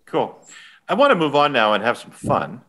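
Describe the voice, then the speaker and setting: A man's voice: medium pitch, wildly swooping pitch, normal speed, sharp tone, low-quality recording. A man moderating a focus group, upbeat as he asks the participants questions. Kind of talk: moderating a discussion.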